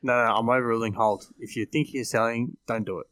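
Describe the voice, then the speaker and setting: A man's voice: medium pitch, steady tone, quick pace, a bit bright, no echo, studio quality. An Australian man giving casual sports team analysis as a podcast panellist.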